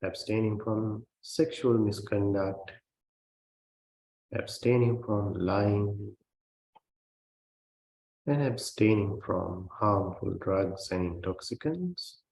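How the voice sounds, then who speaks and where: A man who is a Buddhist meditation teacher, guiding a meditation in a gentle, measured way with long pauses between phrases. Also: on the low side, creaky. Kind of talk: guided meditation. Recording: roomy, reverberant sound.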